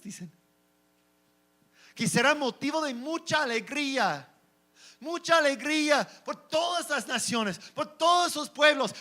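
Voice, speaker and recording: A man's voice: very high-pitched, bright, forceful tone. A man preaching a Sunday sermon in Spanish with a non-native accent, asking rhetorical questions and often restarting words. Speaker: pastor.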